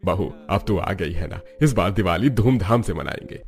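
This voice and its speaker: A man's voice: deep voice, animated, moderate pace. A man voicing a kindly, traditional older father-in-law welcoming his family in a cartoon. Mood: delighted.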